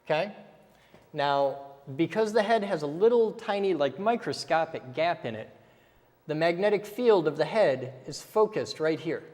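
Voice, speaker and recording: A man's voice: a little high, expressive, moderate pace, darkish tone, long reverb tail. A man teaching step by step in a casual way, often checking in with "okay?" as he goes. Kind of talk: casual technical explanation while drawing.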